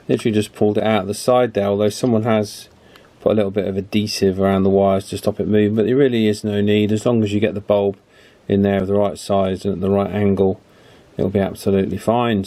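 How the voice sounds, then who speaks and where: A man giving a casual, hands-on repair demonstration, chatty and unhurried, thinking aloud as he works. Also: fairly low pitch, dark tone. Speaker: radio repair video presenter. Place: indoor electronics repair bench.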